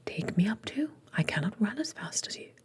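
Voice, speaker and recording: A woman voicing an earnest male storybook frog in a breathy whisper, with a British accent and courtly, measured phrasing. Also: mid-range voice, very animated, a little mellow, close to an everyday voice. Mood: pleading. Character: male talking frog, earnest, pleading, old-fashioned British.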